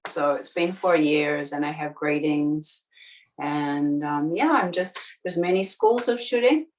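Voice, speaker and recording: Low-voiced woman from New Zealand. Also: a little slow. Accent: light New Zealand. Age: 40s. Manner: relaxed, chatty, laughs easily. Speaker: interview guest. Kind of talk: casual interview chat. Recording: distant from the mic.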